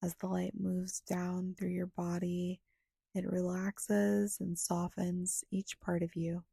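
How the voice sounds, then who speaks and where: Slow, breathy woman guiding a meditation. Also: on the low side, completely flat delivery, dry recording, studio quality. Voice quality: soft, breathy, often slipping toward a whisper. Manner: soothing, hushed, with long pauses between short phrases. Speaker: guided meditation guide. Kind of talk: guided meditation narration.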